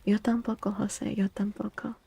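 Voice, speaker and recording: Breathy, soft-spoken woman with slight fry. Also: medium pitch, little pitch movement, a little fast. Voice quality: soft, breathy, often whispery. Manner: gentle, soothing, repeats phrases softly. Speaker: ASMR streamer host. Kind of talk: soft-spoken ASMR livestream chat.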